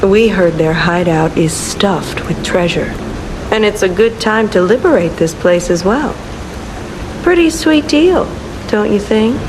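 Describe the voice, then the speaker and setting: A sly video game rogue, played by a woman, coaxes and teases in a slow drawl. Her pitch moves playfully, and steady noise like rain sits behind her. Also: lightly acted. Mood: sly, coaxing. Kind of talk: game character dialogue.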